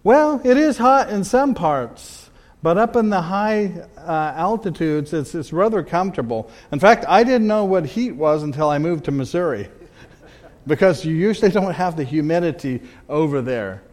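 A middle-aged man giving a conversational missionary slide talk to a church audience, pausing and restarting as he goes. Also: fairly high pitch, animated, darkish tone. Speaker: missionary speaker. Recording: long hall reverb.

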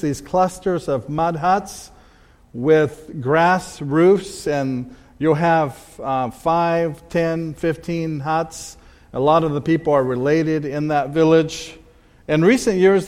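A middle-aged man giving a conversational missionary slide talk to a church audience, pausing and restarting as he goes. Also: a little high, a little mellow. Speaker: missionary speaker. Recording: long hall reverb.

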